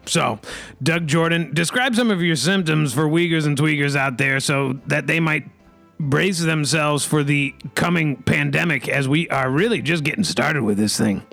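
A man's voice: fairly high pitch, normal speed, vocal fry, a bit bright, soft background music. A man hosting an improvised comedy podcast, rambling in a mock-pompous way with folksy catchphrases.

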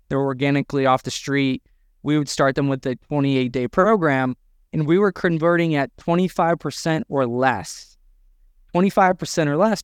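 A man's voice: on the high side, smooth, no echo, studio quality. A man co-hosting a podcast, talking about running a business in an emphatic, conversational way. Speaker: podcast co-host. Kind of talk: conversational business coaching talk.

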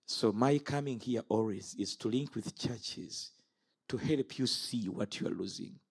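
A man's voice: fairly low pitch, measured pace, husky. A man in his fifties from Uganda preaching an impassioned sermon. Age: 50s. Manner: impassioned, rises and falls sharply.

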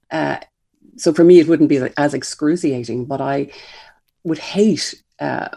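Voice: mid-range voice, gravelly, darkish tone, husky. An older author and publisher with an Irish accent, speaking thoughtfully in an interview, with frequent ums and restarts. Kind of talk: reflective interview conversation.

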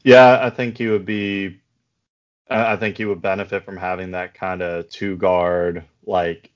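Hoarse guy with a real low monotone voice chatting hoops, lots of ums, kinda muffled audio. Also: a little slow. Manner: hesitant, many ums and restarts, low-key. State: hoarse, voice worn from yelling. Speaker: basketball draft podcast host; coach. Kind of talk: casual sports analysis chat. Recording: slightly dull lo-fi audio.

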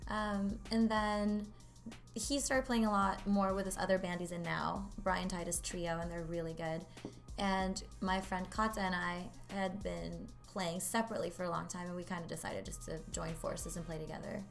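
A woman's voice: on the low side, flat delivery, moderate pace, creaky, soft background music. A woman in her twenties from Norway, chatting casually as an interview guest, with lots of fillers. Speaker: guest, local singer.

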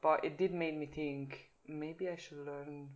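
A woman's voice: sits low, steady tone, a little slow, muffled recording. A woman in her thirties from the Italian part of Switzerland, with a Swiss Italian accent touched by Scottish, answering interview questions in a chatty, frank way and laughing easily. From Italian-speaking Switzerland. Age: 30s. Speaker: guest, jiu-jitsu blue belt.

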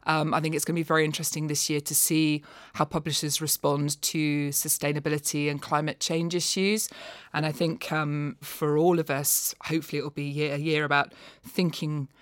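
Low-voiced woman discussing books. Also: little pitch movement, fairly quick, hardly any pauses, no room sound, studio quality. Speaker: non-fiction books expert, podcast guest. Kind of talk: conversational book review.

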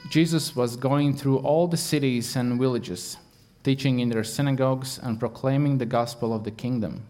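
A man with a Latvian accent, Latvian his first language, preaching a sermon as a guest in halting English, earnest and full of fillers. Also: medium pitch, a little mellow.

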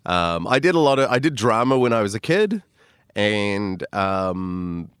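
A man's voice: lowish voice, very animated, measured pace, fairly bright tone, clean tone, no echo, clean studio sound. A man, a comedian chatting as a podcast guest, rambling and self-deprecating with lots of fillers. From New Zealand. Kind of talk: casual interview chat.

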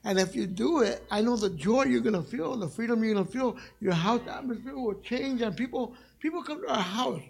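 Raspy-voiced man preaching with passion. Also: extremely high pitch, brisk, few pauses. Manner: passionate and conversational, jokes with the congregation. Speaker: guest evangelist. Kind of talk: sermon. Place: church sanctuary.

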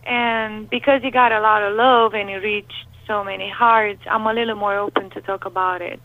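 A young woman with a Romanian accent, a lead singer telling her story in a phone interview, candid and animated with lots of fillers. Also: medium pitch, normal speed, no echo. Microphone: telephone. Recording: tinny phone line, dry.

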